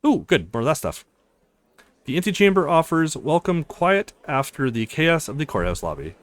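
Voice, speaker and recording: Expressive man narrating a visual novel. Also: a little high, normal speed, no room sound. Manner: theatrical, voices the dialogue. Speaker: let's play streamer.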